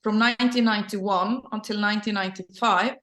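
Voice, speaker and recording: A middle-aged woman whose native language is Norwegian, speaking English with a Norwegian accent in casual personal storytelling, sometimes halting as she searches for words. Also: mid-range voice, fairly even intonation, fairly bright tone. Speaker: interview guest, company leader. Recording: roomy echo.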